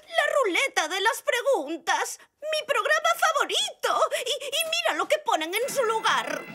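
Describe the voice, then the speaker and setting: A woman voicing an excitable, dramatic elderly zebra great-grandmother in a children's cartoon dub, exclaiming theatrically in Castilian Spanish. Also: very high-pitched, unhurried, heavily acted. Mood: indignant, dramatic.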